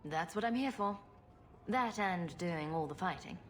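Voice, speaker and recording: An actress playing a sarcastic, cool-headed rogue champion in a video game, with a dry manner. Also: female voice, fairly low pitch, lively intonation, measured pace, a little hiss or hum. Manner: dry, sardonic. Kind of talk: video game cutscene acting.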